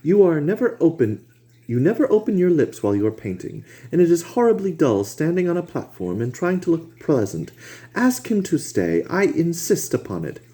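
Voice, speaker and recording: A man voicing a petulant young English gentleman, pleading and insistent in a raised, whiny voice. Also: fairly high pitch, sing-song, moderate pace, pitched above the performer's own voice.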